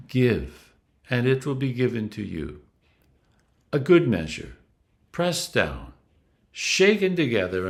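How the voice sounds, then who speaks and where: Slow, expressive man reading scripture. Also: mid-range voice.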